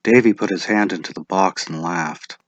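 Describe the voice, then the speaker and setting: A man's voice: low-pitched, normal speed, lo-fi sound. A man narrating a short story as a scripted dramatic reading.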